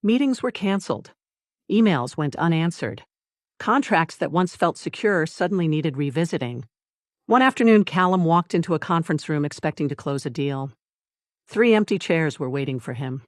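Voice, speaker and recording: A narrator reading a scripted dramatic story in a measured, deliberate way, with short phrases and pauses. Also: mid-range voice, lively intonation, dry recording, studio quality. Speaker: audiobook narrator.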